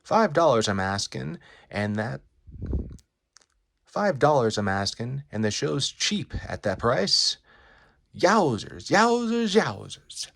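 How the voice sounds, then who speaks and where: A man voicing a bombastic carnival barker in a comic book reading, hawking with loud, drawn-out, repetitive showman's patter. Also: medium pitch, big pitch swings, creaky, slight background noise, exaggerated voice.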